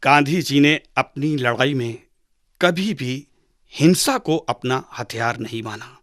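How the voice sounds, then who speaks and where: A man playing a patient father who teaches his son in a measured, didactic way, with dramatic pauses, in a radio drama. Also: medium pitch, expressive, fairly bright tone, no echo, clean studio sound. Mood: earnest. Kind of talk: Hindi radio drama acting.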